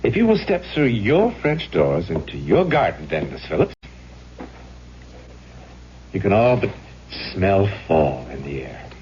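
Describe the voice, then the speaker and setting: Suave mysterious old-fashioned gent, radio drama, real animated delivery, muffled noisy old tape. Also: male voice, low-pitched, measured pace, long pauses, exaggerated voice. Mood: courteous, gently teasing. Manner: old-fashioned, genteel, theatrical phrasing. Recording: dull, noisy old radio recording with hum.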